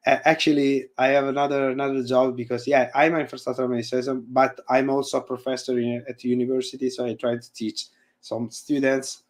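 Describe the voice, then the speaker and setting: A man's voice: mid-range voice, level tone. Italian guy on a video call, english isn't his first language, lots of uhs and restarts, audio fades in and out. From Italy. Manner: halting, searching for words, frequent fillers and restarts. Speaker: guest presenter and university lecturer. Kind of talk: casual chat and live coding demo on a video call. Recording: audio fading in and out.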